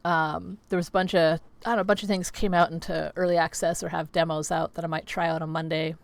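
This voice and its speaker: A woman's voice: low-pitched, level tone, quick pace, gravelly, no echo. A young woman streaming from home, chatting casually with viewers in a rambling way, her voice a little scratchy today. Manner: rambling, filler-heavy, chatty. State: slightly scratchy voice. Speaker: livestreamer. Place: home streaming setup with green screen.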